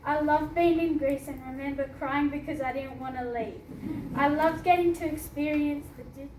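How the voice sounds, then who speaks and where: High-voiced teenage girl reading in a hall. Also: steady tone, moderate pace, a little mellow. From Australia. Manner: earnest, with small laughs. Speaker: student reading her story. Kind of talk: reading a personal essay aloud. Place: hall at a book launch. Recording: echoey hall from far off, noisy.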